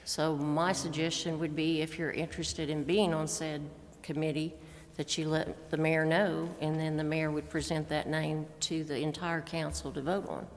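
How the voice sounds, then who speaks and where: A woman speaks about procedure at a council meeting in a low, level voice. The chamber is reverberant, with faint noise underneath. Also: level tone, normal speed.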